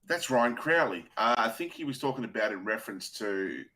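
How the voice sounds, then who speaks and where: Easygoing Australian male co-host, lower-pitched, relaxed rambling delivery. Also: brisk. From Scotland and Australia. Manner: laid-back, rambling storyteller, chuckling. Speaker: podcast co-host. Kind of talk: casual chat.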